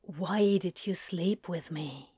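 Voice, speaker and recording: A woman voicing a tender, insecure male lover who asks earnest, hesitant questions in a hushed audiobook style. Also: mid-range voice, takes it slowly, dry recording, clean studio sound, lightly acted. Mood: quiet, vulnerable.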